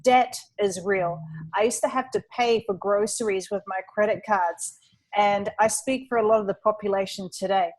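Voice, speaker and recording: A woman's voice: medium pitch, steady tone, moderate pace, a little mellow, poor audio. A woman from New Zealand, her accent now partly Australian, talks through her story in a webinar. Her delivery is upbeat and earnest, like a testimonial. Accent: New Zealand, leaning Australian. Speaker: online entrepreneur and webinar co-host.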